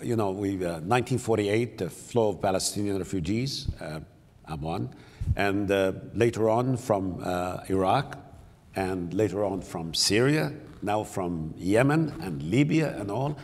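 Older man, halting, husky, in a hall. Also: lowish voice, expressive, a little mellow. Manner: halting, many repeated words and fillers, gesturing with both hands. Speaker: panelist, city mayor. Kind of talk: panel discussion. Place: large conference hall, on stage. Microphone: lapel mic. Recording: long hall reverb.

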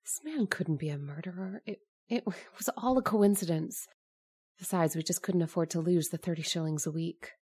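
A woman's voice: on the low side, normal speed, a little mellow, close to an everyday voice. An actress playing a frightened middle-aged landlady and mother who narrates her suspicions and stammers when flustered, in a radio drama. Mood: tense. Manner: nervous, stammering.

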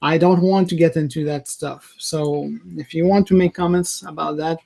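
Higher-pitched man, halting talker. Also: fairly even intonation, gravelly, mellow. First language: French. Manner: halting, searching for words, self-correcting. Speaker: video blogger. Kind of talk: casual opinion rant to camera. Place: small indoor room, home office.

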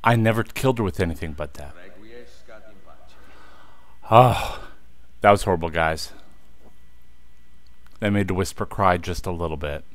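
A man's voice: sits low, measured pace, long pauses, no room sound. Chatty man narrating his gameplay. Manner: chatty, talks to viewers, easily frustrated. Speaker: let's play host. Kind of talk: casual gameplay commentary.

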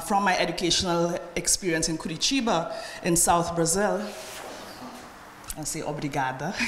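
A middle-aged Trinidadian woman gives a conference talk in a measured, personal way, checking in with her listeners as she goes. Her voice sits a little low. She is picked up from afar in a roomy lecture hall with long reverb and faint background noise. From Trinidad and Tobago. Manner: measured, personal, tags lines with "right?". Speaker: conference speaker. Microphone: podium microphone from a far camera. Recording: echoey hall recorded from afar.

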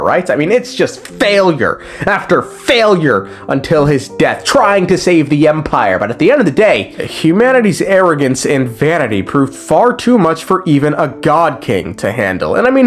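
A man giving a casual, joking recap commentary on game lore, with slang and swearing. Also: on the high side. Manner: irreverent, joking, profane. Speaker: video creator explaining game lore. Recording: music behind the voice. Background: background music.

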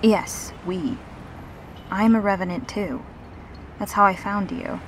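Woman acting a slow-talking, reassuring undead woman. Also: mid-range voice, a little hiss or hum, close to an everyday voice, rough recording. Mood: patient, earnest. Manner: halting, earnest, soft-spoken pauses. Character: Serena, gentle reassuring undead revenant woman. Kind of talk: intimate audio roleplay acting.

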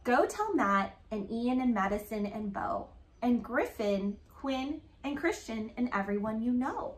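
A woman in her thirties hosting a children's story time, reading aloud and asking questions in animated, child-directed talk. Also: fairly high pitch, a little slow. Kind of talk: picture-book read-aloud and chat for children. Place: living room, indoors. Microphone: camera a few feet away. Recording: echoey room.

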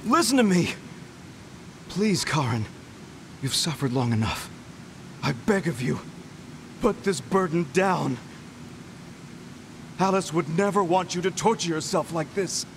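An actor playing a gentle, sorrowful man in a video game, earnestly imploring a tormented friend. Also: on the high side, animated, a little slow, long pauses, a little hiss or hum. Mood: pleading. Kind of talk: dramatic video game acting.

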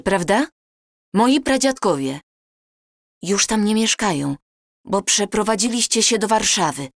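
A woman's voice: medium pitch, no room sound, lightly acted. Woman acting earnest time traveler lady in a radio play, polite but insistent, dry studio sound. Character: earnest, pleading granddaughter from the future. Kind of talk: audio drama acting.

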